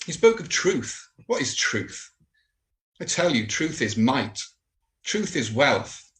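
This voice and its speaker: A man's voice: medium pitch, big pitch swings, slow pace, fairly bright tone. A man playing a contemptuous, haunted Roman official in a dramatic monologue, speaking with emphatic, rhetorical scorn. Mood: scornful, proud. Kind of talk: dramatic monologue in a church service.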